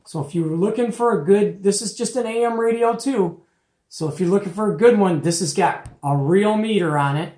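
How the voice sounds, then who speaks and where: A man's voice: sits high, brisk, a little mellow. A middle-aged man giving a casual, folksy and opinionated product show-and-tell as the host. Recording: echoey, roomy home recording.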